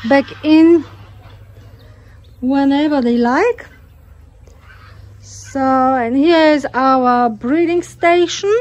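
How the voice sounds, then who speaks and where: Slow-talking woman touring her farm. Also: sits high, darkish tone. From Australia. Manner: unhurried, hesitant with fillers. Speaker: homesteader giving a farm tour. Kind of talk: casual walkthrough narration. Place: outdoors in a rural garden with poultry. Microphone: phone camera held while walking.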